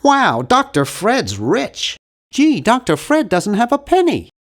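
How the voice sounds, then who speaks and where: A man's voice: very high voice, wildly swooping pitch, takes it slowly, heavily acted. An actor playing a nerdy, earnest, awkward young man in an adventure game, with a geeky, fussy, wryly polite delivery. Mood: dry, self-conscious. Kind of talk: scripted video game voice lines.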